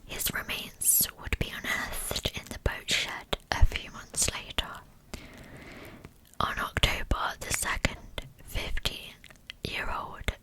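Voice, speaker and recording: A woman narrating a scripted true-crime story for ASMR in a breathy, unvoiced whisper, hushed and deliberate. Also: rough recording.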